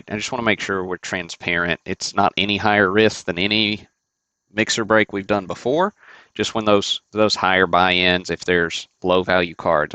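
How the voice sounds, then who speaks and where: A man's voice: sits low, bright, forceful tone, no echo. A man hosting a sports card break livestream, chatting casually and thinking aloud with fillers and restarts.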